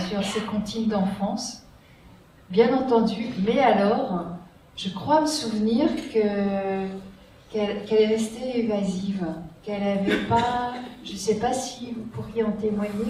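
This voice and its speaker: A woman's voice: mid-range voice, darkish tone. Woman author, hesitant reflective delivery; distant mic, heavy hall echo, faint hiss. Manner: hesitant and reflective, many fillers. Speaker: author on a panel. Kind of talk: round-table discussion. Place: large echoey library hall. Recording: echoey hall from far off, faint noise.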